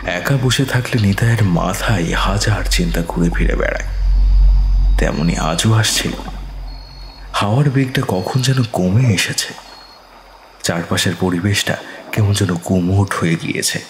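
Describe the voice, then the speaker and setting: Low-voiced man narrating a horror tale. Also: creaky, echoey room, slight background noise. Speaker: storyteller.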